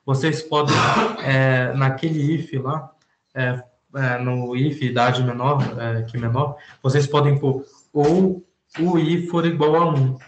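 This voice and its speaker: A man explaining a programming point to students, pausing often with fillers. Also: mid-range voice, fairly even intonation, echoey room, slight background noise, poor audio. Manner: halting, many "é" fillers while explaining. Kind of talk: informal programming lesson.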